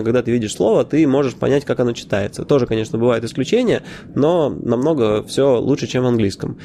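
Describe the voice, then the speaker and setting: A man's voice: mid-range voice, mellow. A man hosting a casual podcast monologue, talking in a conversational way with frequent filler sounds, self-corrections and wry, opinionated asides. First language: Russian. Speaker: podcast host.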